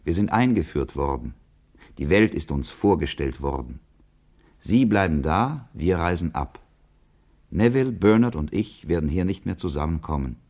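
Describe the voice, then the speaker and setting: Solemn schoolboy, very low voice. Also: male voice, a little mellow, exaggerated voice. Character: brooding, earnest schoolboy leaving school, wild-hearted, resolute. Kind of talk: literary radio drama monologue.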